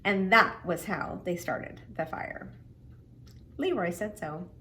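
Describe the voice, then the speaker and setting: Animated woman reading aloud to children. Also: lowish voice, slow pace. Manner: expressive, simple explanations as if to children. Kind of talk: children's read-aloud with friendly introduction.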